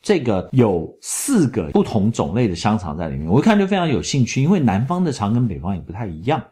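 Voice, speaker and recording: A middle-aged man, chatting in a travel vlog voice-over and telling stories in an animated way. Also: lowish voice, fast talker. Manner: chatty, animated storytelling with laughs. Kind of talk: casual travel commentary voice-over.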